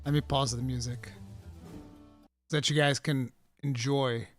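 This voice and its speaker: A man's voice: mid-range voice, faint music behind. A man from Portugal, a native Portuguese speaker, hosting a live stream in casual, chatty talk. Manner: chatty, animated, quick asides.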